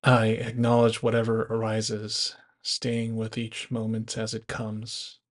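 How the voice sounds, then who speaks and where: A man guiding a body scan meditation as an instructor, speaking in measured first-person instructions. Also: lowish voice, monotone.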